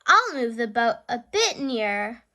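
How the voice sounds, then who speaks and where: A woman's voice: on the high side, big pitch swings, a little slow, pushed and bright, a little hiss or hum, full character voice. A woman voicing a kindly old grandfather pig, a boat captain in a children's storybook, with hearty, emphatic delivery.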